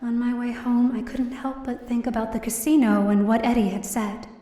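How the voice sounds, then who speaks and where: A woman's voice: on the high side, steady tone, moderate pace, warm tone, close to an everyday voice. A woman playing a weary, worried daughter, narrating her own story in an audio drama in a subdued, reflective way. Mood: reflective, sad. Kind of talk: first-person scripted narration in audio drama. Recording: roomy, distant mic, faint music behind.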